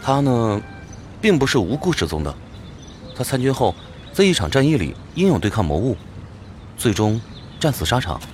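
A man's voice: mid-range voice, very animated, normal speed, pauses often, a little mellow, slight background noise, close to an everyday voice. Man as a theatrical swooping young warrior. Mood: solemn. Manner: swaggering, theatrical. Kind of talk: Chinese audio drama acting.

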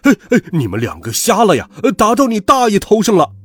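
Schoolboy bully leader for a storybook, played by a man: husky, blustering, quick to threaten, very animated. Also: medium pitch, full character voice. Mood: furious. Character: bullying schoolboy gang boss, brash, hot-tempered, dim.

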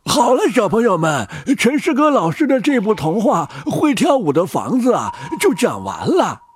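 An older man, a grandfather, wraps up a storytime and talks affectionately with a child. His voice is very high-pitched and husky, with lively rises and falls. The recording is dry and close. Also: moderate pace. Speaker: grandfather co-host of a family story reading. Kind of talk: warm wrap-up chat with children.

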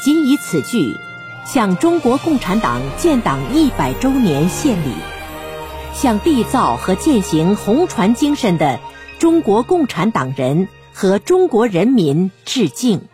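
A woman's voice: medium pitch, very animated, slow pace. A woman narrating and announcing a radio drama in a formal, solemn, declamatory style. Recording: music under the narration.

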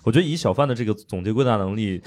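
A man's voice: lowish voice, rapid-fire, darkish tone. A man hosting a podcast with casual, comedian-style banter. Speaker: podcast host, stand-up comedian.

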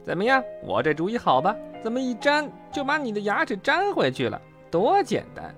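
A storyteller voicing an excitable, caring young animal in a children's storybook, eager and exclaiming. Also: medium pitch, normal speed, faint music behind, voice pushed up. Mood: pleased, proud.

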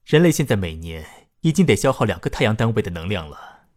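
A man's voice: fairly low pitch, very animated, quick pace, a little mellow. A man playing an earnest, emphatic far-future citizen in a science fiction radio drama. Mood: earnest, pondering. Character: far-future man nearly two centuries old, still youthful, questioning.

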